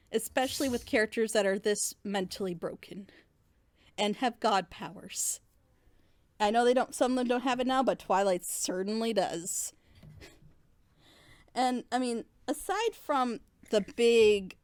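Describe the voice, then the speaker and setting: A woman's voice: mid-range voice, a little mellow, dry recording. A woman giving a casual, opinionated episode critique in a blunt, exasperated and sarcastic way. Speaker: reviewer in a group reaction show.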